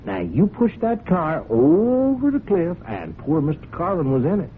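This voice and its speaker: A man's voice: on the high side, wildly swooping pitch, measured pace. A sly country blackmailer in an old radio play, on a muffled, noisy recording. His folksy voice swings up and down widely, all false friendliness and hinted threat. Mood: sly, accusing. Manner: insinuating, falsely amiable, chuckling, hesitant phrasing. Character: sly, folksy male horse-farm hand turned blackmailer. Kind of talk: radio drama acting.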